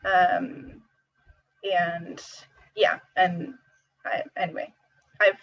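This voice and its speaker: A woman's voice: lowish voice, level tone, extremely slow, creaky, dull audio. A young woman in her twenties, answering questions in a rambling, casual way with many fillers. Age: 20s. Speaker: student researcher panelist.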